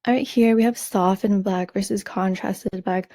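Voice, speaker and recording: A woman with a slightly raspy voice hosting a color analysis lesson, explaining in a measured, friendly way with some fillers and restarts. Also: medium pitch, fairly even intonation, mellow, no room sound, clean studio sound. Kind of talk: explaining over a slideshow.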